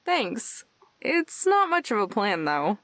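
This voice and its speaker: A woman's voice: mid-range voice, huge pitch swings, slow, fairly bright tone, no echo, exaggerated voice. A woman voicing a laid-back, dry, unbothered young woman in a visual novel game. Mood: casual, unbothered.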